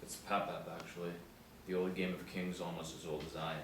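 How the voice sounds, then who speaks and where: A man's voice: low-pitched, little pitch movement, brisk, natural voice. A man at a script table read playing a guarded old grandfather and war veteran with a hidden past. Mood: guarded, weary. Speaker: actor at a script table reading. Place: indoor room, seated reading panel. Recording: roomy, distant mic, background hiss.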